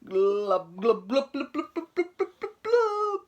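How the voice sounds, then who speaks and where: A woman teacher reading a storybook aloud to young children in an expressive, dramatic way, with asides to the listeners. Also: sits high, takes it slowly, dull audio.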